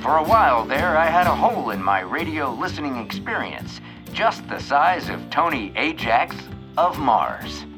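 A man's voice: a little high, big pitch swings, normal speed, over music. A man playing a self-assured radio host in an audio drama, with a showy broadcaster delivery.

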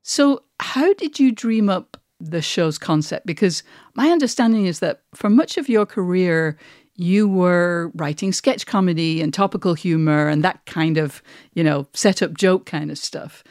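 A woman's voice: lowish voice, measured pace, darkish tone, no echo. A woman presenting a podcast and interviewing in a conversational style on a close mic.

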